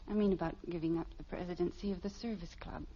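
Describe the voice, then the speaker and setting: An American actress playing a sleepy, soft-spoken, soothing middle-aged wife in an old radio sitcom. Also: female voice, lowish voice, steady tone, normal speed, creaky. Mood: drowsy, tender. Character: drowsy, gently soothing suburban wife. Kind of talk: 1950s radio sitcom acting. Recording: muffled, faintly noisy old radio recording.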